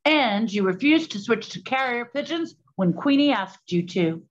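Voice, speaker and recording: An actress playing a suspicious, sharp-tongued woman detective in a 1920s period radio play. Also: fairly high pitch, lively intonation, moderate pace. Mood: accusing. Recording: slightly dull lo-fi sound.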